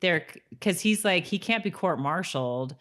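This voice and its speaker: Female podcast co-host, notably low voice, informal and conversational, moves between casual chat and reading notes. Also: animated, moderate pace, a bit bright. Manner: informal, frequent "like" and "you know". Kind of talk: casual chat, reading trivia and goofs aloud.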